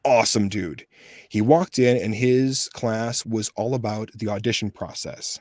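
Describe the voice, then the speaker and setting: A man's voice: lowish voice, unhurried. A man in his thirties, a voice actor telling stories in a casual interview and acting out the voices he quotes. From USA. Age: 30s. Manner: animated storyteller, acts out quoted voices. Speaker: voice actor guest.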